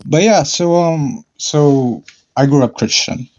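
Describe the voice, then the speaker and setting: A man calling into an online discussion, speaking hesitantly with many fillers and frequent throat clearing. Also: a little high, slow pace, darkish tone, lo-fi sound. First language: not Arabic. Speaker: caller. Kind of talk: casual debate call-in.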